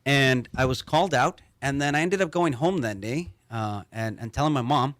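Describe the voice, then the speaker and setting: A man's voice: medium pitch, moderate pace, a bit bright, no room sound. A man from Mexico, a panel guest, talking earnestly and repeating words as he starts his thoughts. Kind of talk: earnest interview talk.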